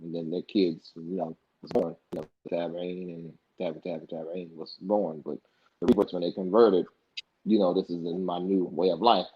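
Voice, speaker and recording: Young male podcast guest, low and husky, animated storyteller, muffled lo-fi sound. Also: normal speed. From United States. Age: young adult. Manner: animated, acts out past dialogue in colloquial speech. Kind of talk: casual interview storytelling. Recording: dull lo-fi podcast audio.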